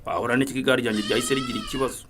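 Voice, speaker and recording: A man's voice: medium pitch, wildly swooping pitch, racing, noisy background. A Rwandan man playing a hard-drinking, debt-ridden fodder carrier in a radio drama, pleading and wheedling stubbornly. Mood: grumbling. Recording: animal and crowd noises behind. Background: goats and sheep bleating.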